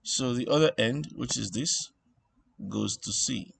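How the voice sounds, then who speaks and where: A man giving an informal electronics tutorial, thinking aloud in a halting way and repeating phrases as he works things out. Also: medium pitch, gravelly, slight background noise. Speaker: instructor.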